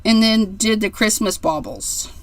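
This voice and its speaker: A woman's voice: mid-range voice, animated, a little slow. A woman in her forties hosting a casual card-making livestream, chatty and rambling, gushing over how cute things are. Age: 40s. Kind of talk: casual livestream craft demo.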